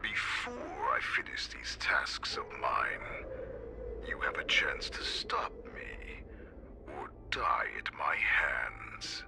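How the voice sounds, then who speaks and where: An actor playing a menacing, bloodthirsty wolfish warlord in a video game, sly and theatrical, with a breathy growl. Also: male voice, rumbling low, wildly swooping pitch, whispered, full character voice. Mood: menacing, grandiose. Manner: slow, theatrical, mocking. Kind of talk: dramatic game villain acting. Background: prominent game music.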